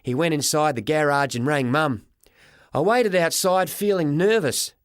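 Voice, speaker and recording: A man narrating a children's story in the first person as an expressive audiobook reader. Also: fairly high pitch, normal speed, dry recording, clean studio sound.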